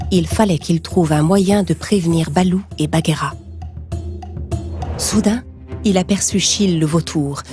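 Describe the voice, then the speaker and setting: A woman narrating a scripted children's story in a measured storytelling manner. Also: lowish voice, warm tone.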